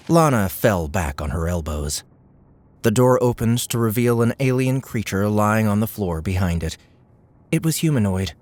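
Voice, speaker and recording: A man narrates an adventure audiobook in a low-pitched voice. He delivers scripted third-person prose as a storyteller. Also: darkish tone.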